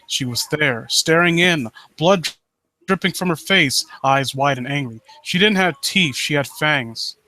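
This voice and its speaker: Sarcastic man with a bright, forceful voice. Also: a little high, expressive, a little slow. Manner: sarcastic, mocking, sweary. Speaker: host reading and critiquing horror stories live. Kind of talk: casual riffing commentary with read-aloud passages.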